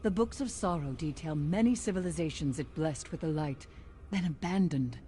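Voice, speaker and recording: An actress playing a learned, coolly sceptical adviser in a game cutscene, composed and serious. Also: female voice, low voice, moderate pace, warm tone, slight background noise, natural voice. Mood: grave. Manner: composed, matter-of-fact, serious. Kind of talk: dramatic video game cutscene dialogue.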